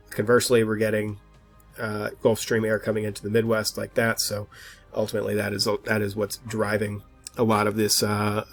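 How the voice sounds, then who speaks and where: A man's voice: fairly low pitch, gravelly. Congested man giving an informal weather forecast. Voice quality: slightly stuffed-up, congested. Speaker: weather forecaster and channel host.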